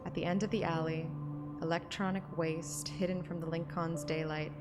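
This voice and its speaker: Woman, low voice, streamer, audiobook-style story reading, loud background music. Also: flat delivery, normal speed. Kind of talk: dramatic narration with casual asides.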